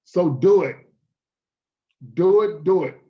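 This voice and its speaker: A man's voice: sits high, takes it slowly. A man giving motivational encouragement in an emphatic, repetitive way, recorded with lo-fi sound. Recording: dull lo-fi sound.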